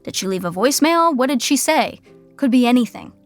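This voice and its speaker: A woman's voice: on the high side, animated, brisk, soft background music, natural voice. A woman performing wry, conversational scripted narration for an audio sitcom.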